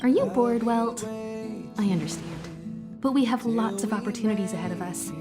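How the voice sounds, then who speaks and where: A woman voicing a poised, serene, gently teasing mentor in a video game. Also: mid-range voice, moderate pace, music in the background, natural voice. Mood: calm, amused.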